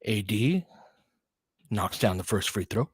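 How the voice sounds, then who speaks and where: A man in his forties doing casual play-by-play of a basketball game, chatty and excitable, thinking aloud between plays. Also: on the low side, measured pace, breathy edge, dry recording, studio quality. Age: 40s. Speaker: play-by-play host. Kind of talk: casual fan play-by-play commentary.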